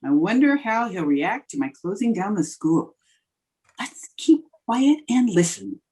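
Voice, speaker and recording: A woman playing a quick-witted, wisecracking schoolteacher in an old-time radio comedy style. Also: medium pitch, big pitch swings, mellow, slightly rough. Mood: wry, brisk. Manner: dry, wisecracking.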